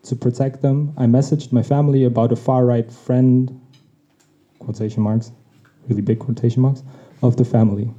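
A man whose mother tongue is Tagalog, reading a personal essay on his podcast in a reflective, measured way. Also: mid-range voice, little pitch movement, mellow. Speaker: podcast host. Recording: dull lo-fi recording.

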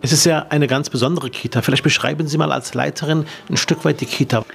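A man in his forties, a politician, asking friendly, curious questions in a relaxed interview at a daycare centre. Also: a little high, quick pace, creaky. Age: 40s. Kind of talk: casual interview.

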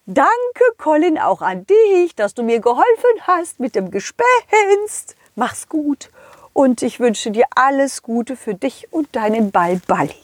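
A woman voicing a lonely, polite king of a big castle in a children's audio story, hesitant and softly thankful. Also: sits high, big pitch swings, slow pace, no echo, pitched above the performer's own voice, heavily acted. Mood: grateful.

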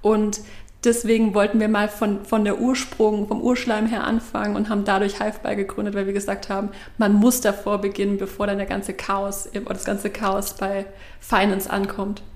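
A woman in her thirties from Swabia, a startup co-founder explaining her work at length in a measured way during an interview. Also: medium pitch, completely flat delivery, roomy sound. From Swabia, Germany. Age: 30s. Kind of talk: interview answers.

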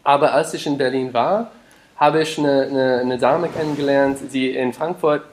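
A man's voice: medium pitch, fairly even intonation, moderate pace, a little hiss or hum. Hesitant man. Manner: hesitant, many fillers and restarts, mixes in English words. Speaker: podcast guest, startup founder. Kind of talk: casual interview talk.

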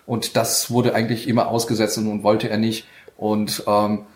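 A man's voice: lowish voice, flat delivery, moderate pace. A man telling his story as a podcast guest, fluent, with many fillers. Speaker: guest, real estate investor. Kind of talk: podcast interview. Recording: roomy echo.

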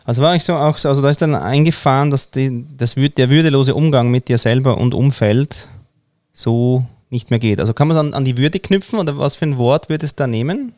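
A man's voice: mid-range voice, brisk, darkish tone, dry recording, clean studio sound. A man interviewing a guest in a curious, encouraging, lightly joking manner. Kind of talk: casual conversational interview.

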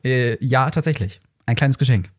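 A man's voice: mid-range voice, moderate pace. A man co-hosting a podcast in casual chat, playful and joking, with a habit of saying "actually" a lot. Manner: playful, laughs often, says "tatsächlich" a lot. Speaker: podcast co-host.

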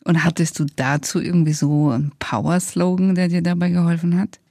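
A woman's voice: fairly low pitch, little pitch movement, brisk, creaky, warm tone, dry recording, clean studio sound. A woman co-hosting a podcast, talking conversationally as she interviews and comments. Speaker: podcast co-host.

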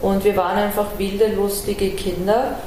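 A woman's voice: medium pitch, level tone, moderate pace, dark tone. A middle-aged woman from Iran who grew up in Vienna, speaking as an interview guest, frank and reflective in long run-on sentences. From Iran, raised in Vienna. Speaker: interview guest, artist and activist. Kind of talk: conversational interview. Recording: roomy echo, faint ambient noise.

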